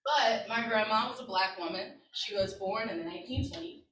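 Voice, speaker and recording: A woman's voice: mid-range voice, lively intonation, moderate pace, crowd noise. A woman in her thirties presenting a workshop and telling a personal story to an audience in an earnest, conversational way, often checking in with her listeners. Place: conference room with an audience. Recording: echoey room, distant mic.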